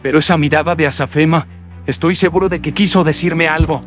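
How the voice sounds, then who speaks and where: A man's voice: on the high side, fairly even intonation, a little fast, pitched above the performer's own voice, natural voice. A man voicing a frightened but brave young man in a horror radio drama, talking to himself melodramatically. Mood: anxious, resolute. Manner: melodramatic, exclamatory self-talk. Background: loud atmospheric music.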